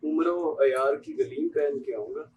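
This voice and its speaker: A man's voice: a little high, steady tone, moderate pace, darkish tone, highs cut off, slight background noise, lightly acted. A young man with a Pakistani accent acting a genial, fatalistic doctor, a family friend, in an amateur stage play. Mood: breezy, evasive. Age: young adult. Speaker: student stage actor.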